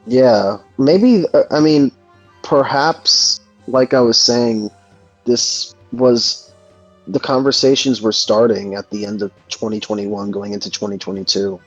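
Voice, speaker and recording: A man's voice: mid-range voice, unhurried, no echo. Hesitant man on a dull, dry mic. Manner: hesitant, reflective, searching for words. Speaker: host of a gaming discussion. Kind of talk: casual discussion. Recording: dull lo-fi mic, music underneath. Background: background music.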